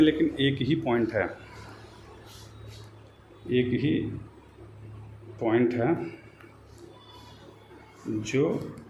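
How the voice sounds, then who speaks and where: Man, clearly noisy recording. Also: medium pitch. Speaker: YouTube host giving exam and recruitment updates. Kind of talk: informal explanatory update to viewers.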